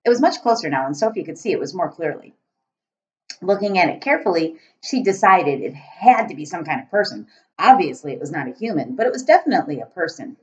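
Low-voiced woman reading a storybook aloud. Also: big pitch swings, quick pace. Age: middle-aged. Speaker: teacher reading aloud to pupils. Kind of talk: storybook read-aloud narration. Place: small room at home, indoors, beside a kitchen. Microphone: laptop webcam at arm's length. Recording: dull lo-fi webcam sound.

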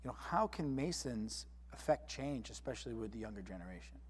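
A man's voice: medium pitch, lively intonation, a little fast, breathy edge, big-room sound. A man moderating a panel discussion, conversational as he poses questions to the guests.

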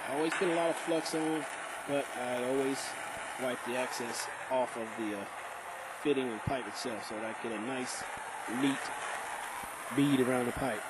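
A man working as a plumber, explaining his work in a relaxed, practical way with frequent fillers while working in a basement. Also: mid-range voice, normal speed, very noisy. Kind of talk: instructional voice-over while working.

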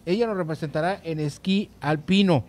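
High-pitched, halting sports host. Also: male voice, a little mellow. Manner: halting, hesitant, repeats words. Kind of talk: sports news round-up and commentary. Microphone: handheld microphone, masked speaker.

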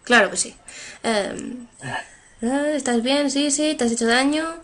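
A woman's voice: high voice, a little slow, fairly bright tone, slight background noise. Chatty young woman gamer. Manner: chatty, translating on the fly with many fillers. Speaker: gameplay streamer. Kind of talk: playing and reading game dialogue aloud. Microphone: headset.

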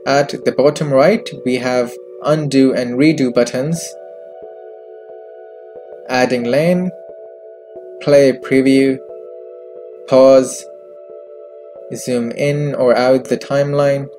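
A man presenting a software tutorial in scripted narration, recorded as a close voice-over with music behind him. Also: mid-range voice, fairly even intonation, slow pace, pauses often. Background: background music.

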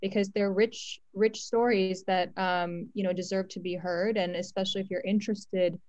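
A woman's voice: medium pitch, steady tone, moderate pace, a little mellow, no room sound. A woman hosting a panel in a conversational, appreciative and encouraging way as she moderates. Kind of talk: conversational hosting, relaying audience questions. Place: remote virtual panel call. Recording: dull, lo-fi, dry call audio.

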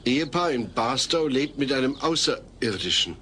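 Sensible suburban dad, medium pitch, very varied intonation, formal and exasperated, comedy audio drama. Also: male voice, animated, moderate pace, bright, forceful tone, a little hiss or hum. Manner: earnest, formal, precise wording. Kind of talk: German-dubbed comedy audio play.

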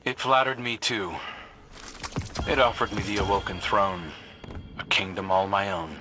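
A man's voice: on the low side, fairly even intonation, a little slow, over music, close to an everyday voice. A man playing a brooding, wry fighter in a video game drama. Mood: wry, worried.

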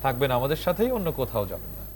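A man's voice: mid-range voice, very animated, fast, over loud music. A man with a Bangladeshi accent presenting a television song programme, addressing viewers in a formal announcer manner. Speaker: TV show host.

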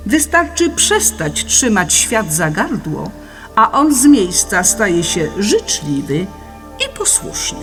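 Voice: mid-range voice, very animated, takes it slowly, fairly bright tone, heavily acted. A narrator reading a scripted philosophical audiobook in an explanatory, didactic manner. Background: music.

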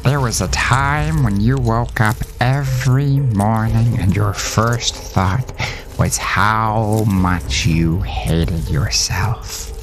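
A man acts out a small, maggot-faced demon from a horror audio story. The speech crawls along, hushed and drawn out, with an eerie tenderness that soothes and unsettles. Music plays clearly behind it. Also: fairly low pitch, voice pushed up. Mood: eerie, tender. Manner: slow, drawn-out, hushed delivery.

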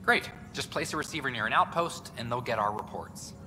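A weary but eager reporter in a space game, played by a man, pitching his work with quick enthusiasm. A long reverb hangs on his voice, and a clear ambient hum runs behind. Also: expressive, natural voice. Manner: eager, fast-talking, a little weary. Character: tired, eager male news reporter. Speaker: news reporter.

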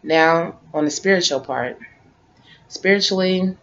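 A woman in her late forties chatting casually to camera from her living room couch, relaxed and rambling, repeating herself as she talks. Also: lowish voice, unhurried. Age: 40s. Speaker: vlogger. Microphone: webcam. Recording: dull lo-fi webcam audio.

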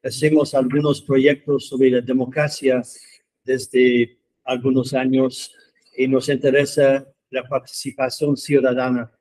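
Canadian man giving a measured, flat talk. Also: mid-range voice, rough recording. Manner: measured, short phrases, non-native Spanish. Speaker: professor speaking at a seminar. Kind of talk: prepared talk to students. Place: meeting room, indoors. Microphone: handheld microphone.

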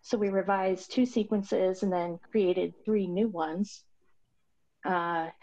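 Woman presenting over a muffled video call. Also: on the low side. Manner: explanatory, steady, with frequent ums and uhs. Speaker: award recipient presenting a project. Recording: slightly dull, lo-fi video call audio.

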